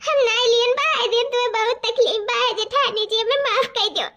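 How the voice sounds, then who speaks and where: पूर्वी उत्तर प्रदेश के लहजे में एक औरत कार्टून की दुखियारी देहाती बहू का किरदार निभा रही है, जो बिसूरते हुए अपनी बात दोहराती है।. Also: female voice, very high-pitched, fairly even intonation, fast, bright, forceful tone. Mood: pleading, remorseful. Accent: eastern Uttar Pradesh. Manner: plaintive, wailing, repetitive lamenting. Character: tearful, aggrieved village daughter-in-law in a cartoon. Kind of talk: melodramatic comedy cartoon dialogue.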